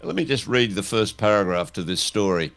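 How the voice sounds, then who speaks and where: A man's voice: fairly low pitch, expressive, a little fast, vocal fry, a bit bright. An Australian man hosting a casual talk show, asking questions and reading out news items. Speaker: show host.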